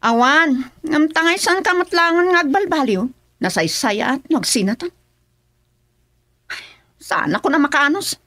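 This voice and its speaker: A woman's voice: high-pitched, animated, unhurried, long pauses, sharp tone, no echo, full character voice. A woman playing a fed-up wife in a radio drama comedy, with an Ilocano accent. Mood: exasperated. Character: fed-up wife giving an ultimatum to her husband.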